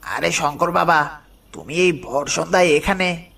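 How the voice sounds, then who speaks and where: A man playing a hesitant, kindly older village boatman with a hurt, self-effacing manner and a husky, creaky voice in an animated horror story. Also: fairly high pitch, measured pace, exaggerated voice. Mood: concerned, offering.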